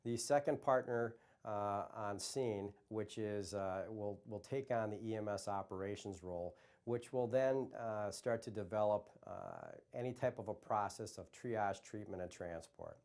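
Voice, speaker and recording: Man, American accent, low pitch, instructional delivery, halting, explanatory. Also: a little mellow. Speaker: EMS trainer. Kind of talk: instructional presentation.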